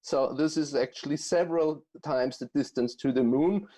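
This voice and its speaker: A man from Austria with an Austrian accent, answering interview questions as a guest economist in a halting way, full of fillers and restarts. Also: mid-range voice, mellow. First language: German.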